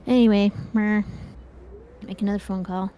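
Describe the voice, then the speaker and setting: Woman, gaming co-host, mid-range pitch, casual chat, playful rambling delivery, faint background noise. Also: steady tone, moderate pace, warm tone. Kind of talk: casual chat while playing an online game.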